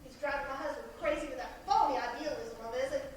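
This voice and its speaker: A distant, echoey stage recording with some hiss carries an actress as a bitter, sharp-tongued neighbor wife. Her voice is high and theatrical, sweetly condescending and needling. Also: quick pace, lightly acted. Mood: resentful. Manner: condescending, wry, needling with sugary endearments. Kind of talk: theatrical stage play acting. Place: theater stage, captured from far off.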